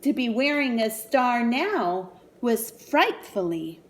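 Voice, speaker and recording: Animated woman reading aloud to children, lively pitch. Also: slow pace. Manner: animated, sing-song, child-directed. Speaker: storyteller reading a picture book to young children. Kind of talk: expressive read-aloud with asides and questions to children.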